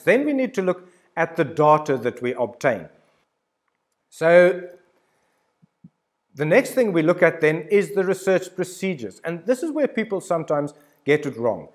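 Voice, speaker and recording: A man in his sixties giving an informal, instructional lecture, talking directly and emphatically to the listener with storytelling examples. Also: a little high. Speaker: university lecturer. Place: indoor studio with plain backdrop.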